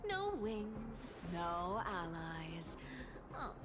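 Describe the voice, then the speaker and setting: A woman's voice: medium pitch, sing-song, extremely slow. A woman playing a smug, playful cat-folk thief in a video game, mocking and teasing in a theatrical way. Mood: smug taunting. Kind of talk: video game character acting. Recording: loud music behind the voice. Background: loud game music.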